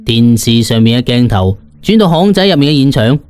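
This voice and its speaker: A man's voice: mid-range voice, expressive, a little fast, darkish tone, faint music behind. A man with a Cantonese accent narrating a first-person audiobook in a colloquial, dramatised storytelling style.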